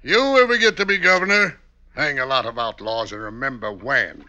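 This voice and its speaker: An actor playing a vain, scheming, folksy state governor in an old-time radio drama. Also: male voice, mid-range voice, animated, normal speed, pushed and bright. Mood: smug. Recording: noisy vintage radio recording.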